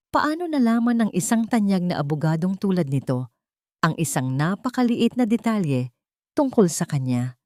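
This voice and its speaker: A woman narrates a dramatic audio story. Her voice sits a little low, and the sound is dry, with very little room. She reads in a measured, literary storytelling manner. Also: mellow, no room sound.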